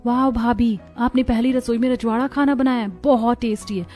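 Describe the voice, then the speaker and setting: A woman's voice: fairly high pitch, fairly even intonation, moderate pace, a little mellow, faint music behind, natural voice. A woman voicing a cheerful, supportive young sister-in-law in a cartoon family story. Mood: encouraging.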